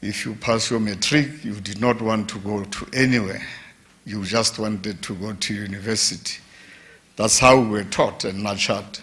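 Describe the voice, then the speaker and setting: A man from giving a formal speech at an event, measured and pausing. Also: on the low side, fairly even intonation, fairly bright tone. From South Africa. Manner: slow, measured, with pauses and fillers. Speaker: foundation representative. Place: conference hall.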